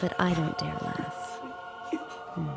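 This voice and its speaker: A woman's voice: low voice, wildly swooping pitch, slow pace, vocal fry, loud music behind the voice, exaggerated voice. A woman in an audio drama playing a subdued, observant handmaid, narrating in an intimate, restrained way. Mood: wry, suppressed.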